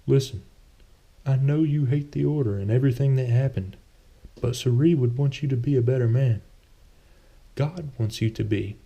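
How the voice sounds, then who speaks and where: A man's voice: mid-range voice, moderate pace, vocal fry, long pauses, mellow, husky, pitched above the performer's own voice. A man voicing a pious, wealthy older man in a storybook reading, earnest and persuasive. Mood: pleading.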